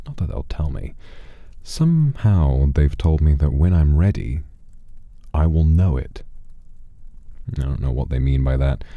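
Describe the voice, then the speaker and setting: A man with an extremely low, velvety dark and husky voice plays the storytelling streamer. He speaks deliberately and theatrically, leaving dramatic pauses between phrases as he spins his tale for listeners. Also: no room sound, muffled recording. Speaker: streamer telling a story in persona as a demon. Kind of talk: slow atmospheric first-person storytelling to a livestream audience.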